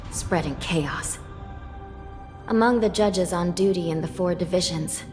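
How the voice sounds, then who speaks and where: An actress playing a solemn, deferential judge in a fantasy video game, with a formal and grave delivery. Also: female voice, fairly low pitch, lightly acted. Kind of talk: dramatic video game voice acting. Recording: long hall reverb, clear music behind.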